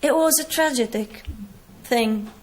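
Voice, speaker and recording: A woman in her twenties with a Palestinian British accent, earnestly telling her personal story to an audience with halting self-corrections and wry asides. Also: fairly high pitch. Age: 20s. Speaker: speaker giving a talk. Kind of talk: personal testimony talk to an audience.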